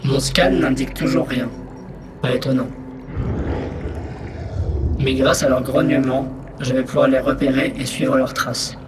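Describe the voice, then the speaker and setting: A man playing a small, methodical exploration robot in a sci-fi audio drama, speaking in a measured, matter-of-fact way. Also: mid-range voice, fairly even intonation, very noisy, natural voice. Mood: focused. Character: small exploration robot, methodical, dutiful scout reporting findings. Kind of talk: scripted sci-fi audio fiction monologue.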